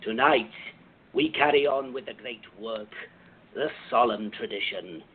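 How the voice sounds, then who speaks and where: A man's voice: medium pitch, breathy edge. A man playing the solemn, grandiose president of a sinister secret society in a radio play, speaking with ceremonial pomp. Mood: grandly solemn. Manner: ceremonial, pompous, measured. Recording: thin, phone-like band-limited audio.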